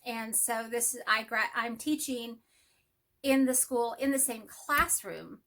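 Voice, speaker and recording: Middle-aged Texan woman, nasal, bright, chatty self-deprecating vlogger. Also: on the high side, measured pace. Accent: Texas. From Texas. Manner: rambling, friendly, self-deprecating. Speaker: hobby vlogger and math teacher. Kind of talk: casual chat to camera.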